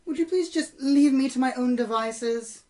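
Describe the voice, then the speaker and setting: A woman's voice: high-pitched, normal speed, warm tone, natural voice. A woman playing a haughty, easily flustered pony performer in a radio play, with pompous, dramatic, formal diction. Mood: haughty, dismissive. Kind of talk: amateur radio play acting.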